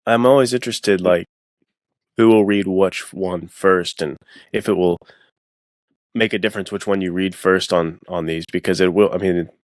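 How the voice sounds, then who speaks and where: Male podcast host, low voice, close dry sound, casual and rambling. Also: fairly even intonation, normal speed, no room sound. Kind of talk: casual conversational interviewing.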